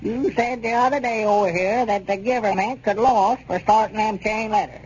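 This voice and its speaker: A man playing a folksy, excitable country storekeeper with a rural Southern accent in a 1930s radio comedy serial. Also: high voice, normal speed, close to an everyday voice. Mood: worried. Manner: rustic, drawling folksy talk. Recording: dull, noisy old 1930s radio recording.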